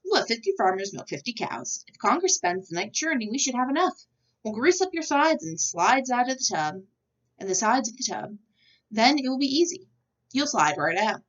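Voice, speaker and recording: Storybook agriculture secretary, male read by a woman: practical, confident, animated high delivery, slightly distant. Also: normal speed, highs cut off. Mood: confidently scheming.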